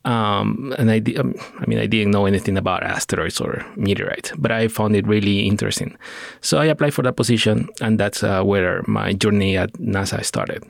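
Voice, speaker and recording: A man's voice: fairly low pitch, steady tone, a little fast, vocal fry, no room sound, studio quality. A middle-aged man from Peru, talking as a scientist guest on a podcast, with many fillers and restarts. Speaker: astrochemist guest. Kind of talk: podcast interview.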